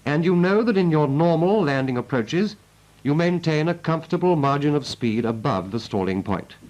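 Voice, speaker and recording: A man playing a brisk British naval instructor, narrating a 1940s training film in a clipped, didactic, emphatic way. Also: fairly high pitch, animated, darkish tone, lightly acted. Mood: brisk, instructive. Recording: noisy vintage 1940s film soundtrack.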